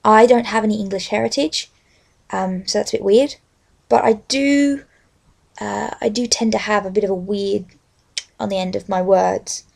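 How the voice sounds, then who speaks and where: A young adult woman with an Australian accent, speaking as a vlogger in a halting, unscripted-sounding way. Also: medium pitch, vocal fry, a little mellow. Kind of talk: casual rambling chat with a read-aloud book passage.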